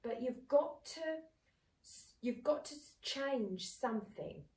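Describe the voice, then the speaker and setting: A woman's voice: a little high, slow pace, recorded from a distance. A woman in her thirties co-hosting a casual chat, talking animatedly and acting out what people said. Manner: animated, acts out quoted speech. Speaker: podcast co-host. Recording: echoey room, off-mic.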